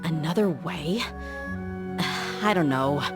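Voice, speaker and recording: Very deep-voiced tough female mercenary. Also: expressive, extremely slow, loud music behind the voice, heavily acted. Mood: frustrated, hurt. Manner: blunt, confident, no-nonsense. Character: tough, blunt young female desert mercenary, guarded. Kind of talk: video game voice acting.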